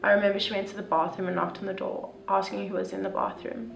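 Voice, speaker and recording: Young woman reading a sad story, flat tone, bit of vocal fry, echoey room, soft guitar behind. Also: mid-range voice, fast. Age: young adult. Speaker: youth reader. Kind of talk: reading a first-person story aloud.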